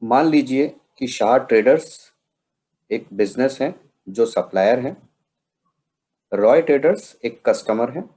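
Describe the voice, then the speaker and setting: A man from India presenting a question-and-answer tutorial in a deliberate way, pausing between phrases. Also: medium pitch, expressive, dark tone, rough recording. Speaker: presenter of a software training session.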